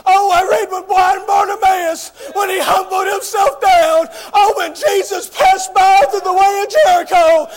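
High-pitched man preaching at a shout. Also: level tone, measured pace, few pauses, some chatter behind. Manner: shouted, impassioned delivery with frequent "oh" interjections. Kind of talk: fiery revival sermon.

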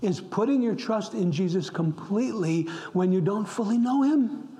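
A man's voice: high voice, animated, normal speed, dark tone. A middle-aged man from upstate New York preaching a sermon as pastor, impassioned, repeating words for emphasis and dropping to a whisper at times. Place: church.

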